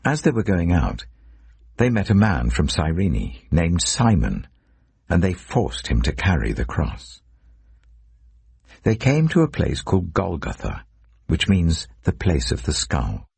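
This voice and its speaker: Male narrator for dramatic scripture audiobook: extremely low, husky voice with animated pitch movement. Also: a little slow, no echo.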